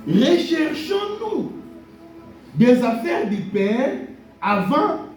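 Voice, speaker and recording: Slow preaching man in an echoey hall. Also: very high-pitched, big pitch swings, far from the mic, faint music behind. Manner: preaching with call-and-response amens; repeats key phrases and blessings. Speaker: pastor. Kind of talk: sermon. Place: church hall.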